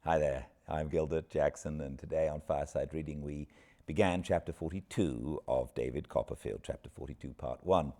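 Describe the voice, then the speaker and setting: A British man hosting an informal book recap with an expressive storyteller's delivery. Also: very deep voice, normal speed. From Britain. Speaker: host, audiobook narrator.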